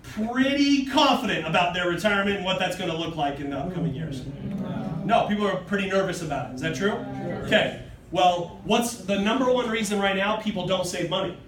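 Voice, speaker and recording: A man in his thirties giving an emphatic sales pitch to a seated audience, often asking "okay?" and "true?", recorded from afar on a phone in a meeting room. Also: brisk, a bit bright, a little hiss or hum. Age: 30s. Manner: emphatic, keeps asking "okay?" and "true?", gestures broadly while standing. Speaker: sales presenter. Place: hotel meeting room, indoors. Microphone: far phone camera in the audience. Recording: roomy, distant phone recording.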